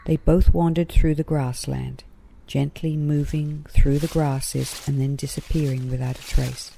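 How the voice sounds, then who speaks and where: A woman's voice: deep voice, fairly even intonation, measured pace, creaky, mellow, slight background noise, rough recording. A woman narrating a children's story in a scripted read-aloud style.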